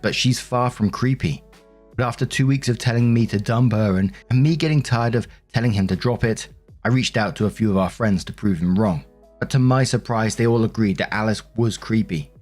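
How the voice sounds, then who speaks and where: A man with a fairly low voice hosts a story-reading show. He narrates personal accounts in a conversational, opinionated style, with soft music playing underneath. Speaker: host reading and commenting on online stories. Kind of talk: story narration with casual commentary. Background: background music.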